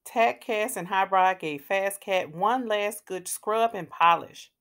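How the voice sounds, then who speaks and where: A woman reading a children's storybook aloud in a deliberate, expressive way for young listeners. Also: medium pitch, clean tone. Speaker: children's read-aloud host. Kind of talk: scripted children's storybook narration.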